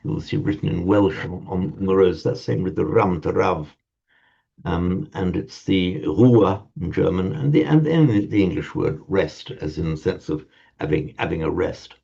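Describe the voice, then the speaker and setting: Male language teacher, deep gravelly voice, mellow tone, halting delivery, muffled lo-fi recording. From parents from Ireland. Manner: hesitant, repeats and restarts words. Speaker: Sanskrit teacher. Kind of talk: informal class lecture. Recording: dull lo-fi sound.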